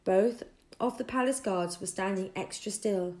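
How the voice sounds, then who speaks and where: A woman narrating a children's audiobook expressively, with deliberate storytelling phrasing. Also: mid-range voice, a little mellow. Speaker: audiobook reader.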